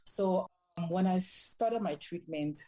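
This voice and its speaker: Woman guest, deep mellow voice, calm and measured. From Cameroon. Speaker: podcast guest and nonprofit founder. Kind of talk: casual podcast talk.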